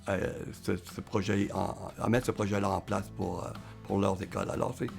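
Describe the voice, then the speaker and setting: Male education professional, very gravelly low voice, halting explanatory delivery, faint music behind. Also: level tone. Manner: halting, hesitant. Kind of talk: explanatory interview answer.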